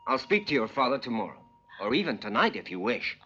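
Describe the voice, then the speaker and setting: An actor playing an earnest, brisk young cavalry officer in a western film, with a clipped delivery. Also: male voice, mid-range voice, big pitch swings, pushed and bright, soft background music. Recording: dull old film soundtrack.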